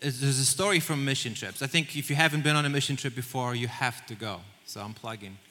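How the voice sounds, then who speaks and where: Man, very bright voice. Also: mid-range voice, quick pace. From Poland. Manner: earnest, storytelling, with small restarts. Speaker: missions leader. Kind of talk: onstage interview in church. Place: large church auditorium. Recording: reverberant church hall.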